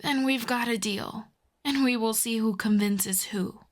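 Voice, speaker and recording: A woman playing a proud telepathic villainess with a soft spot, in an intimate ASMR roleplay, haughty and teasing. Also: on the high side. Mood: smug, fond. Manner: haughty, teasing, slow deliberate delivery with soft whispers. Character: proud telepathic villainess, secretly fond of a hero.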